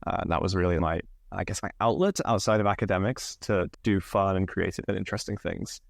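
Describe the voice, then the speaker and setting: A man in his thirties with an English accent, slightly northern, telling stories from his life as a podcast guest, relaxed with lots of fillers. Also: sits low, brisk, vocal fry, no room sound, studio quality. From England. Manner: relaxed, many fillers, laughs often. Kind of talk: candid conversational storytelling.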